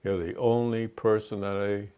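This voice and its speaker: Older New England man, deep, rambling. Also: flat delivery, smooth. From New Hampshire. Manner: rambling, halting with many fillers. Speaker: Army veteran guest. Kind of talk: interview. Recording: dull lo-fi sound.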